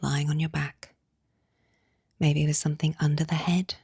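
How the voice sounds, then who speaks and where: Woman, meditation guide, low even tone, soft breathy delivery, soothing, unhurried pauses. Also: no room sound, clean studio sound. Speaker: yoga nidra guide.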